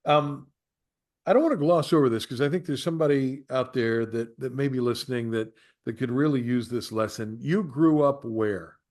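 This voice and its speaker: A man hosting an interview, upbeat and steering the talk with questions and quick summaries. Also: mid-range voice, a little mellow.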